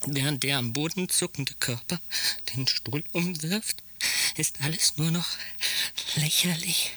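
A man playing an obsessive, detached psychiatric patient in a radio drama, speaking in halting fragments with a husky voice that often drops to a whisper. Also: on the high side, sharp tone.

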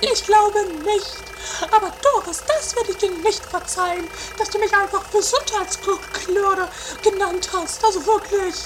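Indignant whiny sister, piercingly high, theatrical, homemade comedy radio play, clearly noisy recording. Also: female voice, unhurried, hardly any pauses. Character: Mathilde, shrill, indignant, squabbling sister. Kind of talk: improvised amateur trash comedy radio play.

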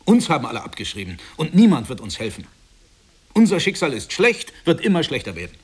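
An actor playing a well-off, smugly concerned husband and friend who lectures in an earnest, patronising way in a radio drama. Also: male voice, fairly high pitch, huge pitch swings, a little fast, husky, exaggerated voice. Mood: exasperated lecturing. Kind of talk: stage-play radio drama acting.

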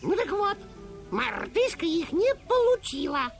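A high-voiced know-it-all parrot for a children's radio play. He is bossy and pedantic, lecturing deliberately with very lively intonation. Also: soft background music, heavily acted. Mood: officious. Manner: didactic, deliberate, self-important. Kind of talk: children's audio play acting.

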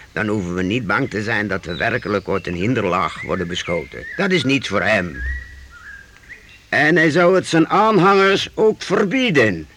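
A man playing a calm, wise master who guides his young companions in a radio play, explaining things in a measured, reassuring way. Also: fairly low pitch, a little hiss or hum, heavily acted.

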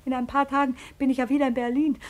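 Actress as a high-voiced, desperate, driven woman. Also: flat delivery, very fast, lightly acted. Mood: desperate begging. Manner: insistent, pleading, emphatic. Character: desperate, tenacious, driven woman chasing a fortune. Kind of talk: German radio drama acting.

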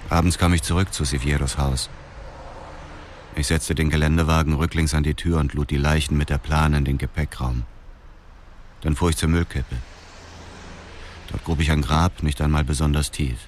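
An actor playing a cold, vengeful widower who narrates his brutal deeds in a detached, measured crime radio drama. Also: extremely low pitch, steady tone, a little hiss or hum. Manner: detached, measured, matter-of-fact. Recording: music under the voice.

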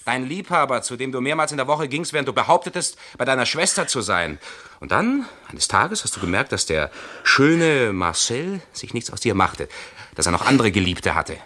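A man's voice: medium pitch, sing-song, moderate pace, bright, forceful tone. An actor playing a jealous husband who confronts his wife in a radio crime play. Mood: accusing. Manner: cold and accusing, then panicked. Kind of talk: radio drama acting.

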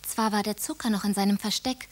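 A woman narrating a radio play in the first person, with measured, vivid storytelling. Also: mid-range voice, fairly even intonation.

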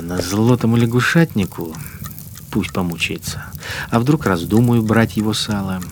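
A man's voice: fairly low pitch, slight background noise, natural voice. An actor in a Russian radio drama playing a cynical, menacing collaborator policeman who muses in mocking, colloquial speech. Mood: sneering menace. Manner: colloquial, mocking, musing to himself.

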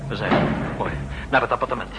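A man's voice: fairly low pitch, fast, lightly acted. An actor playing an anxious, bungling junior male agent with a nervous, stammering manner in a 1960s radio drama. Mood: urgent, nervous. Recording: faintly noisy 1960s radio recording.

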